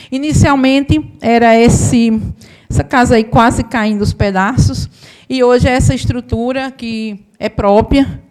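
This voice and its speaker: A woman's voice: a little high, a little mellow. Woman telling her story. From Paraíba, Brazil. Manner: conversational, often asks the audience "né?". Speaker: accountant and business owner giving a talk. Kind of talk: live storytelling talk to an audience.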